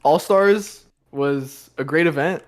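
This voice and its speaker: A man's voice: fairly high pitch, slow. A young man, a cubing coach from the United States, talking casually as a guest on a podcast, with many "like"s and fillers over a video call.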